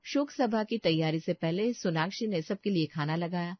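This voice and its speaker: A woman's voice: fairly low pitch, normal speed, no room sound, muffled recording. A woman delivering scripted narration for a Hindi family story as its narrator.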